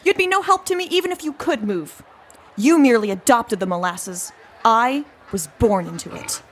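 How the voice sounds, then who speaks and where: An actress playing an indignant, thin-skinned young undercover agent in an audio comedy, theatrical and huffy. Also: female voice, fairly high pitch, lively intonation, moderate pace, slight background noise, close to an everyday voice. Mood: intense, scornful. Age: young adult. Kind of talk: comedy audio drama acting.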